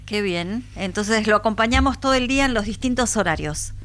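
A woman from Buenos Aires hosts a call-in radio show, speaking in an easy, friendly way. She sounds relaxed and sociable as she chats with listeners. Faint music plays under her voice. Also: mid-range voice, a little fast. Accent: Buenos Aires Spanish. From Buenos Aires, Argentina. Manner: friendly, chatty, with soft laughs. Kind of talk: casual call-in radio chat.

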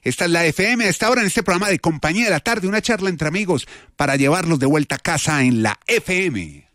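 A man's voice: a little high, expressive, quick pace, a bit bright, dry recording, studio quality. A man from Colombia hosting an afternoon radio talk show, chatting and reading news items aloud. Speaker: radio host.

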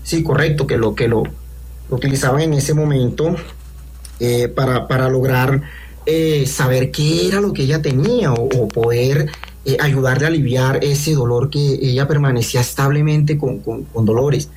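A man in his thirties with a coastal Colombian accent, calling in to tell a personal ghost story, halting with many restarts and fillers. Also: medium pitch, darkish tone. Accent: Colombian coastal Spanish. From Colombian Caribbean coast. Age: 30s. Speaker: caller.

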